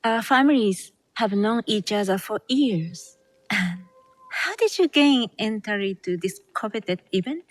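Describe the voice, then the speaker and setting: Snobby rich noblewoman in a game, catty, condescending, mid pitch, dry recording. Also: animated, takes it slowly, dry recording. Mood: mock-polite. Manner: condescending, mock-polite. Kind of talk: video game cutscene acting.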